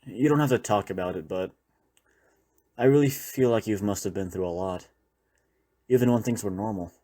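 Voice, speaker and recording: A weary survivor of the zombie apocalypse, played by a man, speaks in a fairly low voice. He is a loyal partner in an intimate audio roleplay, rambling reflectively as if in private conversation. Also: moderate pace, warm tone, close to an everyday voice. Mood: wistful, reflective. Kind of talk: intimate audio roleplay, one-sided dialogue.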